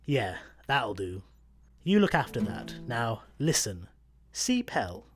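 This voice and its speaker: Man playing a cocky Scottish stowaway, animated. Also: medium pitch, slow pace, breathy edge, full character voice. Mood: casual, cocky. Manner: blunt, cocky. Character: brash, scheming male stowaway chancer. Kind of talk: sci-fi comedy radio play.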